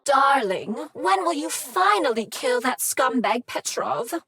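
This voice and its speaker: A woman's voice: sits high, sing-song, takes it slowly, sharp tone, no echo, clean studio sound, full character voice. An actress voicing a flirtatious female machine in a video game, purring and teasing. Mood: sultry. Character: flirty female machine, sultry vending unit. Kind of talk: video game character dialogue.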